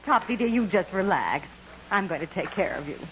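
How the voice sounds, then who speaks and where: Lively, coaxing lady ghost played by a woman. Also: medium pitch, a little fast, natural voice. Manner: coaxing, self-assured. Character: breezy, coaxing female ghost posing as nurse. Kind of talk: 1940s radio comedy acting. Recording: dull, noisy vintage radio recording.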